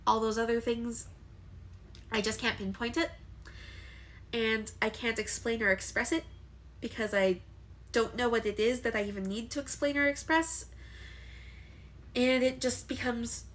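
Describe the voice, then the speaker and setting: Congested young woman, hesitant vlog talk. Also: a little high. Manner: hesitant, with frequent ums and restarts. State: congested from allergies. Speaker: vlogger. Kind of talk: candid personal vlog talk.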